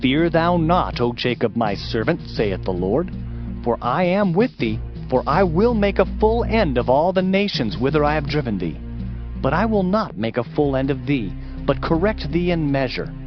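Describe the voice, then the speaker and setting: Higher-voiced man declaiming scripture over music. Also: sing-song, normal speed. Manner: solemn, declamatory. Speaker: audiobook narrator. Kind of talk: dramatized scripture reading. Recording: dull lo-fi audio under music.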